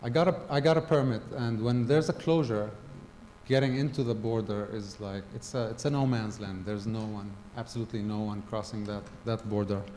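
A middle-aged man from Gaza tells a personal story at a conference, speaking haltingly with many ums and self-corrections. His voice sits low and mellow. He holds a handheld mic in a large hall with a long reverb and faint background noise. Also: fairly even intonation.

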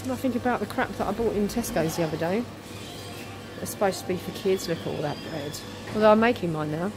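A woman's voice: medium pitch, fast, low-quality recording. A woman filming a casual tour of a farm shop on her phone, talking enthusiastically and exclaiming at what she finds. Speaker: vlogger touring a farm shop. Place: large indoor farm shop. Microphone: handheld phone camera. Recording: loud background music.

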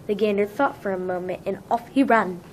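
A woman's voice: mid-range voice, brisk, background noise. A woman narrating a children's storybook, reading it aloud.

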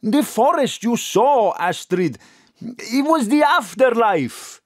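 A woman playing an earnest, mystical Greek friend in an audio drama, deliberate, knowing and emphatic. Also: a little high, animated, no room sound, clean studio sound.

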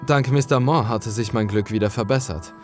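A man's voice: medium pitch, moderate pace, a little mellow, faint music behind. A man reading a scripted first-person horror story as a dramatic narrator.

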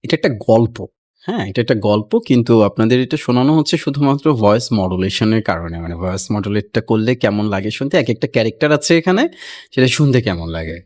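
A man giving an expressive story narration, as a recitation teacher demonstrating to a class. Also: fairly low pitch, fairly quick.

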